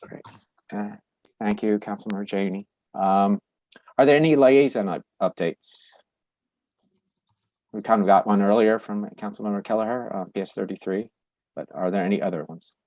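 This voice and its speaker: A man chairing a council meeting, halting with many fillers and restarts, losing his voice. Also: fairly low pitch, a little mellow, dry recording. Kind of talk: virtual public meeting.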